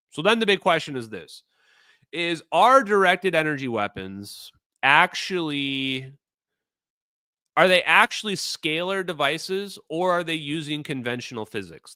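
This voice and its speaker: A man's voice: a little high, bright, forceful tone, no room sound, clean studio sound. A man from Minnesota with a Minnesota accent, hosting a livestream and talking casually, rambling with fillers and repeated phrases. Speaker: streamer host.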